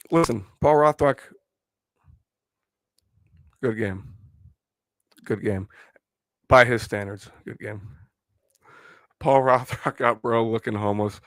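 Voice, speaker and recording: A hoarse young man who has lost his voice while sick, rambling opinions on a casual live stream. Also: on the low side, no room sound. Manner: rambling, opinionated, filler-heavy. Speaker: fan channel host.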